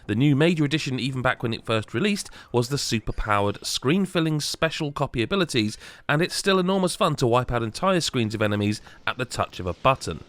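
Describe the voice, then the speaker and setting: A man's voice: medium pitch, expressive, fairly quick, few pauses, fairly bright tone. A man reading a scripted video game review as a voice-over. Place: close, dry voice-over recording.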